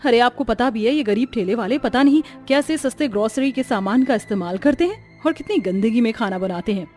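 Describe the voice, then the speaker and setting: A woman's voice: a little high, lively intonation, brisk, a little mellow, soft background music, close to an everyday voice. A woman voicing a haughty, rich young sister-in-law in a cartoon story, sneering and condescending in manner. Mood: scornful. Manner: mocking, condescending. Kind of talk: Hindi cartoon story acting.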